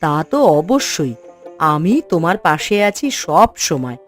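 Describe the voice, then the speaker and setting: A woman voicing a caring middle-aged village wife in a cartoon, speaking in a supportive, expressive way. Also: sits low, slow, faint music behind, exaggerated voice. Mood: warm supportive. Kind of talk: cartoon voice acting.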